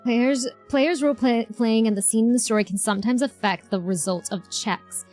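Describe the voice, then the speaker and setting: A woman's voice: fairly high pitch, moderate pace, darkish tone. A woman streamer giving casual game reaction commentary, chatty and thinking aloud. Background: faint game music.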